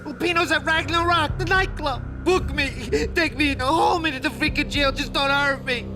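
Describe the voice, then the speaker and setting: An actor playing a cowardly, foul-tempered gangster in a video game, whining and blustering frantically. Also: male voice, very high-pitched, moderate pace, music in the background. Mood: terrified, pleading. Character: panicky, whiny male gangster, cowardly but foul-tempered.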